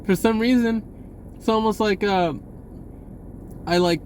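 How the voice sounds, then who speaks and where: An American man with a somewhat high voice talks over clear background noise. He shares self-help stories in a loose, unscripted way, full of slang, and he wanders and cuts into his own sentences. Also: a little slow, darkish tone. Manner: rambling, slangy, self-interrupting. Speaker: vlogger sharing spiritual self-help advice. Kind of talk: casual unscripted personal storytelling.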